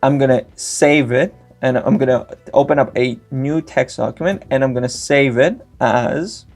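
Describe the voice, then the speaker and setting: A man's voice: mid-range voice, normal speed, darkish tone, soft background music. A young man whose first language is Greek, giving a step-by-step screen-recorded tech tutorial with frequent filler sounds. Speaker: tutorial host.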